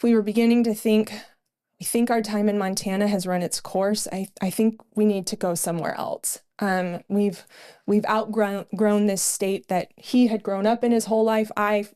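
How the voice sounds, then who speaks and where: A woman's voice: mid-range voice, steady tone, normal speed, mellow, smooth. A middle-aged woman co-hosting a podcast, telling her personal testimony in an earnest storytelling way with frequent fillers. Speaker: podcast co-host.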